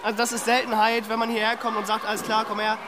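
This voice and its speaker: A young man speaks casually as a swimming coach. His voice is strikingly high, with loud pool bustle behind him. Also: completely flat delivery, fast. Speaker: swimming instructor. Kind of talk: casual interview talk. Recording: noisy, busy swimming pool hall.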